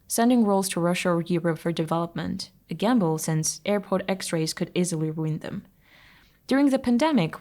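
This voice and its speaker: Low-voiced woman. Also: a little mellow. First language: Armenian. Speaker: narrator reading a personal essay. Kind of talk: scripted audio article narration.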